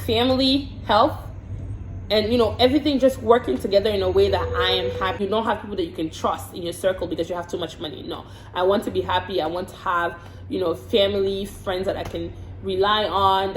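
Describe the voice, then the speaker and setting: A woman from the Congo chats frankly through a casual get-to-know-me video, reading each question aloud before answering. She often repeats a word or two while she gathers her thoughts. The room around her sounds roomy and echoey, with a faint hiss behind her. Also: mid-range voice. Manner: frank and chatty, repeats words while thinking. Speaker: vlogger and hair and makeup artist.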